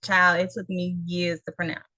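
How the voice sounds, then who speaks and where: A woman with a New Orleans accent hosting a casual interview, animated and playful, laughing often and using slang. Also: fairly low pitch, normal speed, dry recording, rough recording. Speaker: host.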